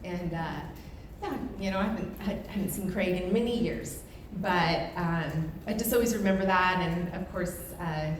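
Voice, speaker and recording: A woman's voice: lowish voice, moderate pace. A woman in her fifties, a family friend, hesitantly sharing a memory at a memorial. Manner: hesitant, searching for words. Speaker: family friend giving a eulogy. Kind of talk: memorial remembrance. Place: memorial gathering room. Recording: very echoey room with background noise.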